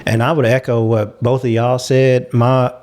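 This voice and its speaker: A man's voice: mid-range voice, level tone, moderate pace, a little mellow. A man hosting a casual panel discussion, giving advice in an earnest, emphatic way. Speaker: talk show host.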